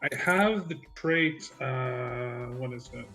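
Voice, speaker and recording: A man's voice: medium pitch, takes it slowly, pushed and bright, faint music behind. A man talking casually as a player in an online tabletop game, rambling and restarting his sentences. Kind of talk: casual chat during an online game session.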